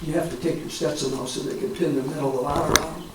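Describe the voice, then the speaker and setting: An American man in his seventies, a war veteran reminiscing informally in a halting, rambling way with frequent pauses. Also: fairly high pitch, steady tone. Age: 70s. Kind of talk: informal reminiscence at a public talk. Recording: echoey, distant mic, clear background noise.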